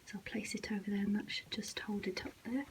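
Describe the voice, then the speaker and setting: A soft-spoken, breathy woman giving casual behind-the-scenes vlog narration, often dropping into a whisper. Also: medium pitch, steady tone, fast talker. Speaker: ASMR vlogger and host.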